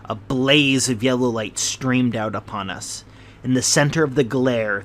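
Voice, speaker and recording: Male audiobook reader, mid-range voice, steady classic-novel narration, faint noise beneath. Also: mid-range voice.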